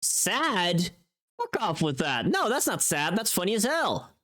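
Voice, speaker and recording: A woman's voice: mid-range voice, sing-song, unhurried, fairly bright tone. A woman livestreamer chatting casually in a playful, teasing, informal way, often thinking aloud and trailing off.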